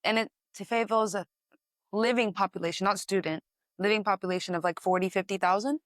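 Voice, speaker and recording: Young texas woman chatting as a guest, friendly quick replies, dry close sound. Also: mid-range voice, fairly even intonation, no echo. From Texas, USA. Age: young adult. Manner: friendly, attentive, quick short reactions. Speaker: guest, student. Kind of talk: casual interview chat.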